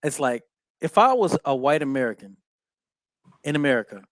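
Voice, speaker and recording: A man hosting a casual podcast chat, filling his talk with "you know what I'm saying" and giving steady "mm-hmm" as his guest talks. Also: medium pitch, normal speed, no room sound. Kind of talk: casual conversation.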